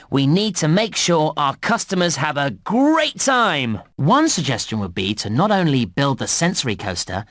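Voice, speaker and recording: A man's voice: a little high, fairly bright tone, no echo, studio quality. A man voicing a cheerful, encouraging theme park guide, narrating a children's game in a scripted, presenter-like delivery. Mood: upbeat, encouraging.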